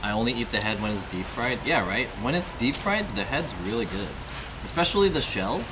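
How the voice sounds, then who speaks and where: A man's voice: medium pitch, animated, a little fast, noisy background. A man in his thirties chatting casually to viewers on a live stream while eating alone in a restaurant, rambling, swearing and often asking his audience questions. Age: 30s. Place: restaurant, indoors. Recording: music playing behind the voice.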